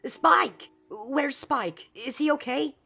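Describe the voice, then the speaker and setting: A man's voice: extremely high pitch, slow pace, vocal fry, bright, forceful tone, pitched above the performer's own voice, exaggerated voice. A man voicing an anxious, injured young female pony student in a story reading. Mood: panicked. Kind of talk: character voice in fan fiction reading.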